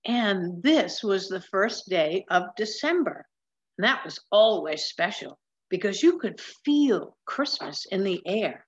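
An older woman reading a picture book aloud in a measured, explanatory manner, recorded on a webcam at home. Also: mid-range voice. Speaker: storybook reader. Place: large wood-ceilinged room at home. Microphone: webcam or laptop mic at desk distance. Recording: slightly dull webcam sound.

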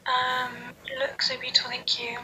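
A woman from England talks softly on a live stream, often dropping toward a whisper, with a fairly high voice. She thanks viewers and answers their questions in a relaxed, casual way. A steady hiss from the phone audio sits under her speech. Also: normal speed, creaky, poor audio.

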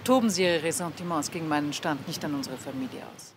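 A woman's voice: sits low, fast talker, background noise, close to an everyday voice. A woman playing a haughty, cool countess in a crime drama, dismissive and imperious. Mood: indignant. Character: haughty, cool aristocratic countess defending her family. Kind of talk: German TV crime drama acting.

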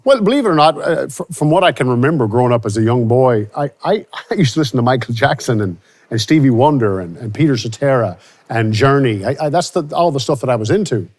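A man's voice: mid-range voice, animated, fast talker, a little mellow. A man in his forties from Louisiana, a country singer being interviewed, speaking reflectively with frequent restarts, recorded on a studio set with a lapel mic. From Louisiana, USA. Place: studio with starry backdrop.

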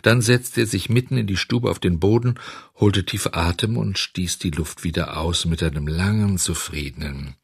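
Low, husky male children's storyteller. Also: moderate pace, no room sound, studio quality. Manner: dramatic, addresses young listeners directly. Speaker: audiobook narrator.

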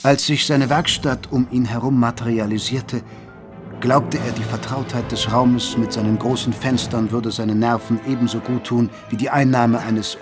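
A man's voice: mid-range voice, lightly acted. Guy as a solemn victorian chronicler telling a story, literary radio drama narration, music playing behind.

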